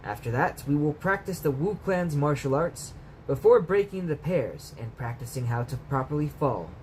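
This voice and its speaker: A man voicing a stern, brisk middle-aged martial arts teacher in a storybook read-aloud. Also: a little high, animated, a little mellow, close to an everyday voice, poor audio. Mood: brisk approval. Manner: commanding, brisk. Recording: clear background noise.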